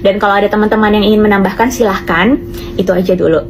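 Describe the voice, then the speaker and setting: A young woman calmly explaining foreign degree grades in a casual vlog. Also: mid-range voice, fast talker, a little hiss or hum. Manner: calm, explanatory, lightly persuasive.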